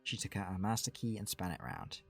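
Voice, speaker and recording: A man's voice: lowish voice, big pitch swings, brisk, gravelly, a bit bright, slightly rough, soft background music. A man reading a game aloud as a streamer, giving dramatic first-person narration of a visual novel.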